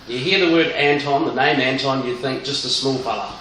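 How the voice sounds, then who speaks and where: A man's voice: mid-range voice, a little fast, a bit bright, a little hiss or hum. A man hosting a radio interview, asking long, rambling questions. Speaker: radio host. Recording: echoey room, mic at a distance.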